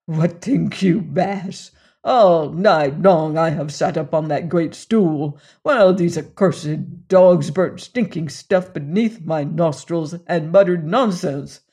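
A man voicing a fierce, hungry male dwarf who is being worshipped as a god, shouting in loud, blustering outbursts. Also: on the high side, takes it slowly, breathy edge, heavily acted. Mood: indignant.

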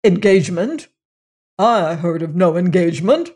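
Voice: mid-range voice, lively intonation, normal speed, darkish tone, close to an everyday voice. A reader voicing a pompous old reverend. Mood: indignant. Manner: sanctimonious, lecturing, rising to indignant. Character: pompous older male clergyman, self-righteous and evasive.

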